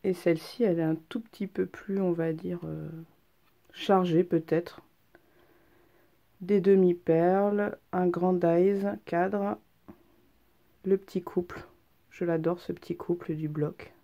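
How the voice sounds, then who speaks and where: A woman's voice: fairly low pitch, steady tone, takes it slowly, creaky, long pauses, dark tone, lo-fi sound. A woman with a head cold, presenting handmade cards in a chatty, hesitant way full of ums. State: has a head cold, stuffy. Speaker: craft vlogger. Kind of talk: casual craft show-and-tell.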